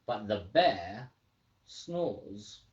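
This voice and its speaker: A woman hosting a children's story time, chatting casually and reading aloud in a playful, expressive way. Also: very deep voice, extremely slow. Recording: roomy, slightly dull home recording.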